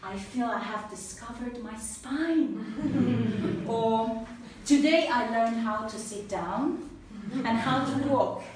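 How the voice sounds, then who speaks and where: A woman with an Italian accent giving an earnest, animated personal talk to an audience, then answering questions. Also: fairly high pitch, slow pace. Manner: earnest, animated, laughs easily. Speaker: speaker at a talk. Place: event room with an audience. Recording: echoey room, mic at a distance, faint noise.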